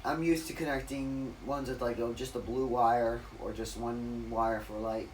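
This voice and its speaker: A man with a raspy voice rambling through a casual, off-the-cuff demonstration, correcting himself and losing his train of thought. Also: mid-range voice, fairly even intonation, a little slow, recorded from a distance, slight background noise, low-quality recording. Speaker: ceiling fan collector demonstrating a fan. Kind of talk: casual off-the-cuff video narration.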